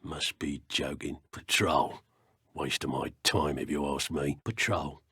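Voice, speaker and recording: Very husky low British male, dark wizard henchman, game guard, slow, dry grumbling, sardonic. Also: steady tone, no echo, exaggerated voice. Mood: bored grumbling. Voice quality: gravelly, raspy. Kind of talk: video game guard voice lines.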